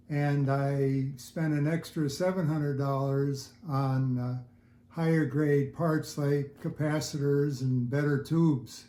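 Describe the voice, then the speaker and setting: A man in his seventies giving casual, halting interview answers as an audio hobbyist in his home listening room. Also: a little high, mellow. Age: 70s. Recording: echoey room sound.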